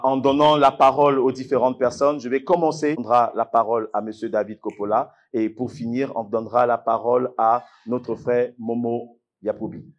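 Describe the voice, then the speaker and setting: A man with an Ivorian accent, working as master of ceremonies at a fight event, hyping up a live audience with emphatic, repeated phrases. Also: mid-range voice.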